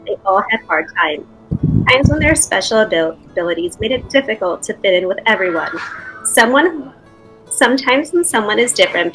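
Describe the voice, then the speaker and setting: A woman's voice: mid-range voice, poor audio. A woman reading a children's picture book aloud. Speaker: reader. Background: soft guitar.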